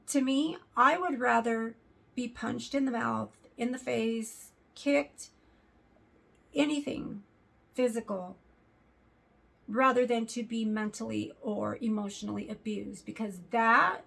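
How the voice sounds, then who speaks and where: A young adult woman with a sore throat and a raspy voice, talking earnestly to camera in a casual vlog with lots of fillers. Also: fairly high pitch, fairly bright tone. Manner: earnest, hesitant, many fillers. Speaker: vlogger.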